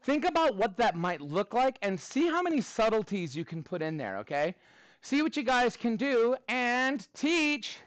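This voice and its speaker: A man teaching a middle school class, talking to students in an informal, animated and encouraging way. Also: extremely high pitch, moderate pace, no echo.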